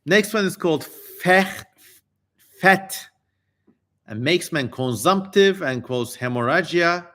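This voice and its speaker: A man with a slightly high voice reads aloud at a slow pace. He delivers strange names and incantations theatrically, then drops into casual, irreverent commentary with some swearing. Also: expressive, fairly bright tone. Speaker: streamer reading an ancient text aloud.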